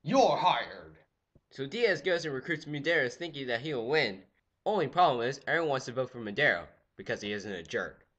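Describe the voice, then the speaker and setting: A teenage boy giving a goofy, jokey history lecture, swinging from loud exclamations to quiet asides. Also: mid-range voice, a little fast, muffled recording. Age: teens. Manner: goofy, animated, jumps between loud exclamations and mutters. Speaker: student presenter. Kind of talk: comedic lecture with skits.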